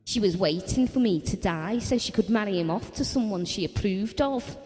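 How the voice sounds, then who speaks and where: A woman's voice: mid-range voice, moderate pace, a little mellow, close to an everyday voice. An actress playing a blunt, bitter wife and mother, confiding her memories in an audio drama. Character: Ellen, wife and mother recalling a family tragedy, bitter and blunt. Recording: reverberant hall-like sound.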